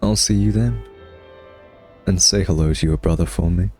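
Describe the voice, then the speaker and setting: Smug male vampire played by a man, low register, teasing drawl, ASMR monologue over background music. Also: normal speed, dark tone, lightly acted. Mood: charming, admiring. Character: smug male vampire taunting his captive hunter nemesis.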